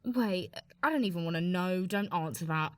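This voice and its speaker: A woman's voice: lowish voice, expressive, normal speed, dry recording, natural voice. A woman acting as an easily embarrassed but curious young woman, hesitant and protesting, in a naturalistic radio play.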